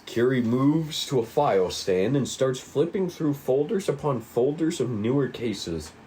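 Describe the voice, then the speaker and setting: A man's voice: medium pitch, expressive, unhurried, mellow. A man narrating a table read, reading stage directions aloud on a slightly noisy recording.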